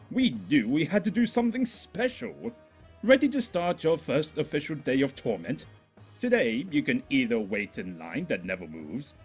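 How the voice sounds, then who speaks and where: A man's voice: a little high, breathy edge, pitched above the performer's own voice, exaggerated voice. A man voicing a cheerful, smug male pony with a mocking streak in a comic storybook reading. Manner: cheerful, showy, nonchalant, mocking. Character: chirpy smug male pony, secretly a gleeful demon. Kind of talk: comedic storybook character voice.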